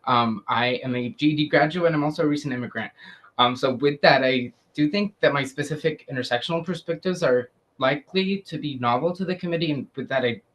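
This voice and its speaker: A man's voice: a little high, a little fast, a bit bright, low-quality recording. A young man from Syria answering committee questions, earnest and hesitant, with many fillers. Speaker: student applicant.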